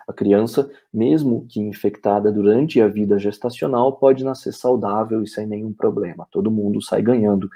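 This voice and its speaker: Dark, husky Brazilian man lecturing. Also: medium pitch. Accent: Brazilian Portuguese. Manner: explanatory, checks in with "ok?" and "né?", frequent "é" fillers. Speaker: veterinary lecturer. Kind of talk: webinar lecture.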